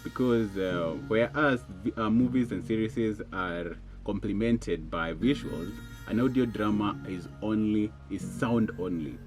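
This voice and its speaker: A man's voice: on the low side, a little slow, over music. A man with a Kenyan accent giving an explanatory interview.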